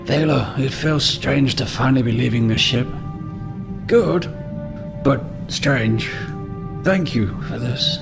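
A man voicing a gentle, doting father, a doctor who speaks haltingly and tenderly in a tabletop role-playing game. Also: medium pitch, gravelly, over music, pitched above the performer's own voice. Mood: tender, wistful. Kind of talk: tabletop game role-play over music.

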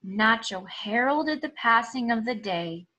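Bright, high-voiced woman reading aloud. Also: animated, a little slow, dull audio. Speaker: teacher reading aloud to pupils. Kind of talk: expressive children's storybook read-aloud. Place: small room at home.

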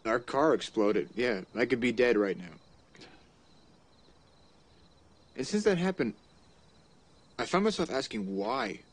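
A man's voice: medium pitch, expressive, takes it slowly, pauses often, husky. A young man playing a nervous, rambling college student who opens up to an older man on a porch at night in a film comedy. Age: young adult. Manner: anxious, rambling, nervous talk.

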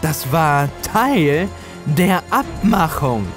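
A man voicing a gloating, manipulative older villain in a horror story, with a taunting, drawn-out delivery. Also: on the high side, sing-song, over music, voice pushed up, full character voice. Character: sinister mocking older man, gloating manipulative dealmaker with supernatural power.

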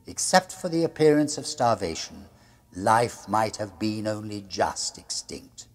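Low, husky man telling gothic horror. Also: big pitch swings. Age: middle-aged. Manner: grave, deliberate, hushed at phrase ends. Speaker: storyteller reading a classic ghost story aloud. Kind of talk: dramatic gothic horror narration, read as a diary. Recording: music under the voice. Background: music.

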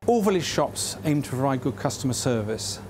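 A man's voice: medium pitch, big pitch swings, a little fast, a little mellow. A man giving an explanatory talk as an adviser. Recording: clear outdoor ambient noise.

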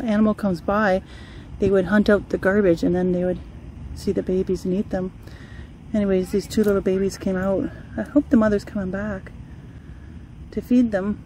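A woman's voice: mid-range voice, dark tone, a little hiss or hum, low-quality recording. A woman talking casually and off the cuff, as a vlogger filming an animal rescue on a phone. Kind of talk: casual unscripted vlog narration. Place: outdoors on grass, later indoors and in a car. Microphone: handheld phone.

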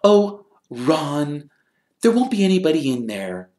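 A reader plays a sensible storybook schoolgirl, raised well above a normal talking pitch. The manner is brisk and a bit bossy, in an echoey room. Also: very animated, a little mellow. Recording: roomy echo.

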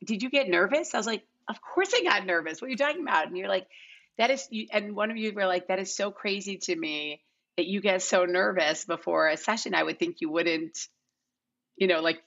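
A middle-aged woman chatting casually as an interview guest, animated and self-deprecating. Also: medium pitch, brisk, dull audio. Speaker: casting director, podcast guest. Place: home living room, video call.

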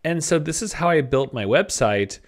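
A man's voice: a little high, animated, moderate pace. Conversational man narrating a screencast tutorial. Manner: conversational, informal, thinking aloud. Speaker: presenter of web design tutorials. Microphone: desktop microphone at a computer.

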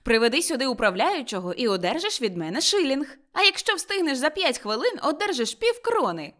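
A woman voicing an elderly male miser, giddily overjoyed and reborn, exclaiming and repeating himself excitedly in a storybook reading. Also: high-pitched, fairly quick, voice pushed up. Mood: eager, delighted. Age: older.

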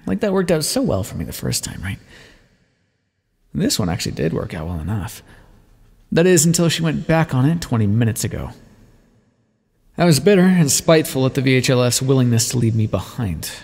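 A man acts out a sardonic male vampire for an audio roleplay. He is bitter, wordy and self-mocking, with a theatrical swing in pitch. A gravelly fry roughens his words, and a long reverb trails behind them. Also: darkish tone, exaggerated voice. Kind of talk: ASMR roleplay monologue, dramatic storytelling.

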